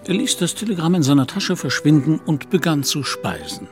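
A man's voice: a little high, big pitch swings, normal speed, faint music behind. A man narrating a literary radio drama in scripted storytelling style.

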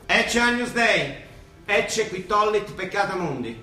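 Echoey, impatient Roman monsignor. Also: male voice, high-pitched, expressive, unhurried, sharp tone, exaggerated voice. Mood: solemn, liturgical. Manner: colloquial, chivvying, muttering and exclaiming. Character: bustling, impatient, grumbling but fond Roman monsignor. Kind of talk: theatrical radio drama acting. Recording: echoey stage-like sound with music behind.

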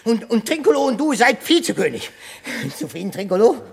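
An actor playing a pompous, blustering drunkard who would be king, husky and stammering, in a classic radio play. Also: very high-pitched, big pitch swings, brisk, exaggerated voice. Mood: boastful.

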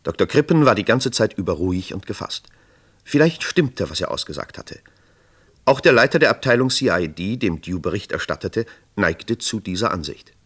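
A man with a husky voice delivering scripted narration for a crime radio play. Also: fairly low pitch, animated, moderate pace, a bit bright.

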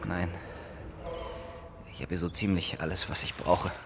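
Very deep, listless hospital patient. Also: male voice, unhurried, long reverb tail, background noise. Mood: weary, polite. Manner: flat, laconic, often whispery. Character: listless, dazed male patient in a veterans' hospital. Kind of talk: radio play acting.

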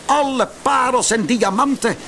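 A man's voice: very high-pitched, unhurried, creaky, very noisy, voice pushed up, exaggerated voice. A man voicing a suspicious, hot-tempered sultan in a fairy-tale audiobook, indignant and commanding. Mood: furious, alarmed.

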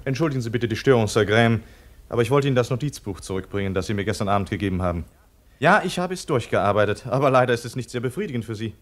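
An actor playing a polite, earnest gentleman in a 1950s radio crime drama, speaking courteously and precisely. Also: mid-range voice, lively intonation, racing, close to an everyday voice. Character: polite, earnest gentleman consulted on a detective case.

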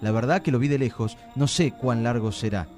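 A man's voice: medium pitch, brisk, a little mellow, soft background music, close to an everyday voice. A man playing a reassuring, romantic young suitor in a radio play. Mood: soothing, romantic. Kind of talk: amateur radio play dialogue.

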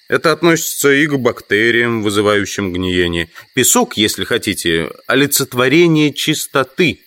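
An actor playing an educated, proud and skeptical entomologist in an audio drama, reasoning in a pedantic way. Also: male voice, mid-range voice, fairly bright tone, dry recording. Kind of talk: Russian audio drama acting.

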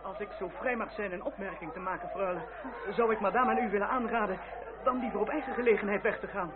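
A very high-voiced manservant played by a male actor in a vintage radio drama. He is obsequious on the surface, grasping and cowardly underneath. The sound is tinny, with heavy noise and crowd clamour behind him. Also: fast talker, close to an everyday voice. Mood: deferential. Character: manservant, obsequious then insolent and grasping, cowardly when threatened. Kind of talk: old radio drama acting. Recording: tinny, band-limited old radio recording, heavy noise.

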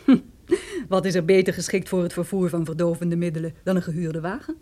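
Radio-play casting: woman as stern young lawyer, mellow tone, precise, brisk and businesslike. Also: lowish voice, little pitch movement, lightly acted. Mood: cool, official. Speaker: supporting actress. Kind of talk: radio detective drama acting.

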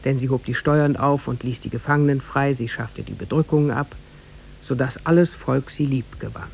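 A man narrating a tale in a 1950s German radio play, formal and measured. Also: mid-range voice, gravelly, a little mellow, slight background noise, lightly acted. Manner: formal, measured storybook delivery.

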